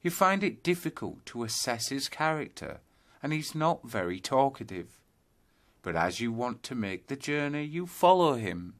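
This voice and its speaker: A man narrating a scripted guided yoga nidra meditation, telling a journey in measured second-person storytelling. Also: mid-range voice, sing-song, a bit bright.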